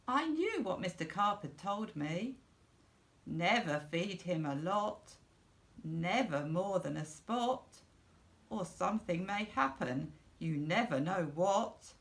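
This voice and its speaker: Middle-aged Englishwoman, creaky voice, unhurried and expressive children's storybook reader. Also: on the low side. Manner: slow, deliberate, simple phrasing for young children. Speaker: school storyteller.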